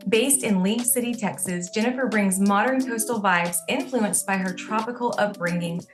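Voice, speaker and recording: A woman hosting a podcast, reading a scripted guest introduction and then chatting casually in an upbeat manner. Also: medium pitch. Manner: upbeat, reads introduction smoothly. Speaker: podcast host.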